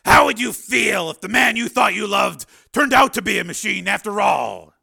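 A man voicing a tough, tormented cyborg man full of bitterness and self-doubt, in a forceful audiobook performance. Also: high voice, expressive, normal speed, gravelly, breathy edge, voice pushed up, heavily acted. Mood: anguished doubt. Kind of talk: dramatized audiobook dialogue.

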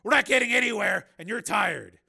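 A man voicing Steele, a tough, impatient armed fighter who talks in forceful, clipped commands in an audiobook. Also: high voice, lively intonation, brisk, vocal fry, slightly rough, voice pushed up, heavily acted. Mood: exasperated.

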